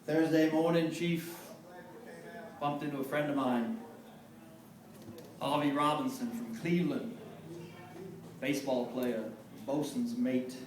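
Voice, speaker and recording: A man performing a dramatic monologue as a grim, haunted sailor who survived a wartime shipwreck, telling it in measured, folksy, clipped phrases. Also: medium pitch, steady tone, natural voice. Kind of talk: dramatic film monologue performed live from memory. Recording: echoey room, picked up from far off.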